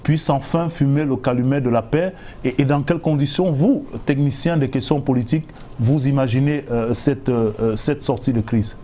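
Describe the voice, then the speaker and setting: A man with a Cameroonian accent hosting a formal current-affairs interview, building long, deliberate questions clause by clause. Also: mid-range voice, dark tone, slight background noise. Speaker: TV interviewer, host.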